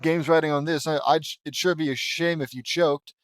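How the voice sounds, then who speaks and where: A loud, cocky cartoon sporty sister, put on by a man, pitched up and taunting. She is competitive and boastful, in a dry, close recording. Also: steady tone, fairly quick, clean tone, dry recording, natural voice.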